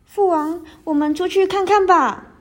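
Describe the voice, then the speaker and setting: A girl playing a very high-voiced pleading princess. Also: unhurried. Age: teenager. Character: clinging, pleading eighteen-year-old princess in love.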